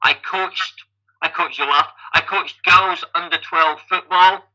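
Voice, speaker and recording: Bright, sharp-voiced Scottish man in his fifties. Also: high voice, steady tone, a little slow, rough recording. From Scotland. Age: 50s. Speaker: podcast guest, amateur endurance athlete. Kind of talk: conversational life-story telling.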